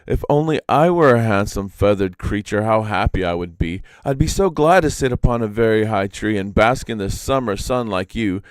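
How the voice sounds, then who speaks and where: A man's voice: lowish voice, animated, moderate pace, hardly any pauses, no echo, voice pushed up, natural voice. Man voicing a wistful, vain spider fairy, theatrically pleading. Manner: theatrical, sighing, imploring.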